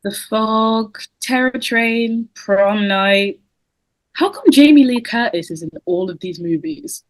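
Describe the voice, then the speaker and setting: A woman's voice: on the high side, slow, no room sound, poor audio. A woman playing an earnest teenage horror heroine in a script read. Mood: curious. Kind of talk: informal script table read.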